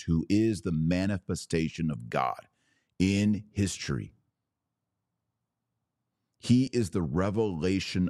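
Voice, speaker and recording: A man hosting a religious podcast, preaching in an emphatic, declarative way with deliberate pauses and repetition. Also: deep voice, darkish tone, no echo, clean studio sound. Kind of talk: preaching monologue.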